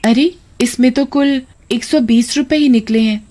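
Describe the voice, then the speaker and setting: A woman voicing a caring, sensible young elder sister in a cartoon moral story, gentle and earnest. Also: fairly high pitch, moderate pace, close to an everyday voice. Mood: thoughtful.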